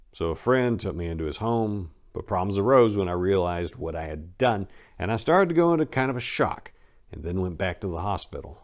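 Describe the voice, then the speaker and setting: A man narrating a first-person story as a dramatic reading, in a colloquial, expressive way. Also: sits low. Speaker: audiobook narrator.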